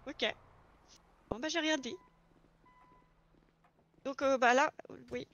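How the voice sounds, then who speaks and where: A woman's voice: high voice, fairly even intonation, takes it slowly, fairly bright tone, highs cut off. A woman explaining things step by step in an informal, casual roleplay chat. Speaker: experienced garage employee training a new recruit in online roleplay.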